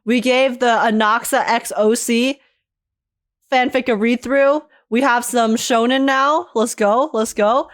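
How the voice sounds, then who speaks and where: A woman's voice: on the high side, steady tone, takes it slowly. A woman streamer giving playful, teasing and exclamatory commentary while reacting to a game. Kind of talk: playful gaming commentary with dramatic read-alouds. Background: music behind the voice.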